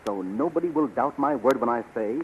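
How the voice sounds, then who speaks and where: An actor playing a loyal, confident, resolute messenger in a 1930s radio serial, formal and earnest. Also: male voice, mid-range voice, expressive, a little fast, lightly acted. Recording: dull, noisy 1930s radio recording.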